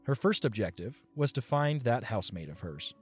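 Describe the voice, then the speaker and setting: A man's voice: mid-range voice, very animated, normal speed, faint music behind. A man reading scripted story narration as the narrator of an audio drama.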